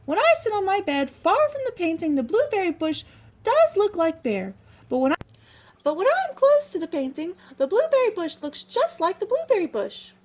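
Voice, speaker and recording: A woman voicing a tiny shy young female mouse who paints, in a high, squeaky, earnest voice. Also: sing-song, normal speed. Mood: insistent.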